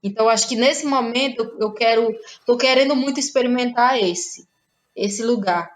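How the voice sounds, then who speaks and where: A woman's voice: a little high, moderate pace. A young woman from Ceará with a Northeastern Brazilian accent, a guest playwright in a podcast interview, thinking aloud with restarts and frequent tag questions.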